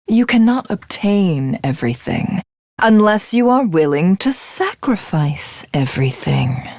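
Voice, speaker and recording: A woman voicing a proud, cold warrior commander in a video game, speaking in a formal, commanding and measured way. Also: mid-range voice, sing-song, darkish tone, no echo, clean studio sound. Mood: solemn, measured. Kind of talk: video game character voice acting.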